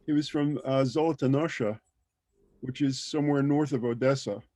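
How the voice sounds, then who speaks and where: Male panelist, mid-pitched and dry, halting, thinking-aloud delivery. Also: dark tone, dry recording. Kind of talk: casual panel discussion. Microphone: video call.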